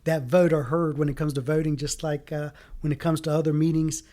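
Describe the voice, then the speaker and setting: A man raised in the American South whose first language was Greek, talking earnestly in a casual interview, with many halting fillers. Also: on the high side, flat delivery, mellow. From Fayetteville, North Carolina. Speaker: city council candidate.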